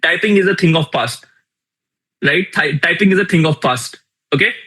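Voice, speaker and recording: A young man with an Indian accent running a live tools workshop, energetic and repetitive, firing questions at his audience. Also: on the high side, normal speed, breathy edge. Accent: Indian English. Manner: energetic, repeats phrases, asks the audience questions. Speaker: workshop instructor. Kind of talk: live online tool demonstration.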